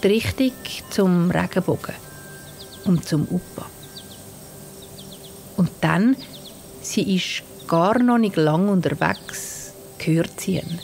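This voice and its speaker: A woman with a Swiss German accent narrating a scripted bedtime story for children in a gentle, soothing manner. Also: lowish voice, vocal fry. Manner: slow, gentle, soothing delivery. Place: studio. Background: soft music and sound design.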